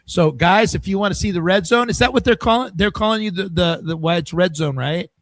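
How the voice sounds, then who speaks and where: A man hosting a casual podcast, his voice a touch higher than most men's. He jokes around with guests and talks loosely. The sound is dry and close, with little room echo. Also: brisk. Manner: chatty and joking, leans on fillers like "I mean" and "allegedly". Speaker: podcast host.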